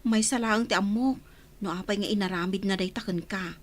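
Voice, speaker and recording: An actress playing a housemaid's concerned, excitable friend in a radio drama, full of questions, with an Ilocano accent. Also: female voice, mid-range voice, brisk, a little hiss or hum, natural voice. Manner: exclamatory, questioning.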